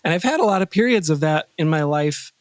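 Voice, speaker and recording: A man chatting casually as an interview guest, enthusiastic and halting as he thinks aloud. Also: a little high, expressive, no room sound. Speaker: podcast guest, composer and sound designer.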